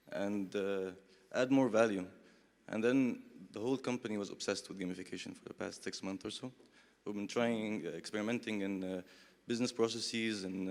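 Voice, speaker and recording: Low-pitched man in an echoey hall. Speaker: panelist, company founder. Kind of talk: informal live self-introduction. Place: conference hall, before an audience. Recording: echoey hall with long reverb.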